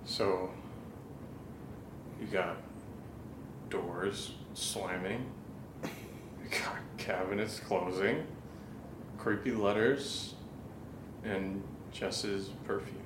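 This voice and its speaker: Casting: male actor as insistent, earnest drinking buddy in horror audio drama; unhurried, conversational, noisy echoing room. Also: deep voice. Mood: serious, concerned. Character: Steve, Nate's concerned, insistent drinking buddy. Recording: echoey room with noisy ambience.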